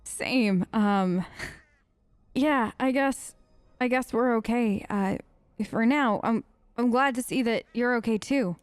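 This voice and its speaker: A woman gamer role-playing her character's dialogue off the cuff in a hesitant, halting, conversational way. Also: on the high side, clear, resonant tone, no room sound. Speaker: gaming let's player. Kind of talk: improvised in-character role-play of game dialogue.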